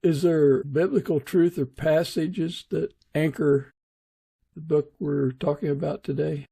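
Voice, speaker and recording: Slow, gravelly man hosting an interview. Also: a little high, fairly even intonation, mellow, dry recording, clean studio sound. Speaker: podcast host. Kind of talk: interview questioning.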